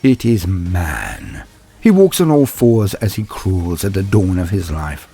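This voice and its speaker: A man voicing an arrogant, possessed assassin in an audio story, husky, sneering and deliberate in his menace. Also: low-pitched, big pitch swings, dark tone, faint music behind, full character voice. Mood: smug, scornful.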